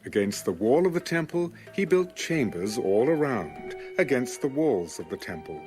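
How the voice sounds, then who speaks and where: Expressive male Bible narrator over soft music. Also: mid-range voice, moderate pace. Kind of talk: scripted scripture narration.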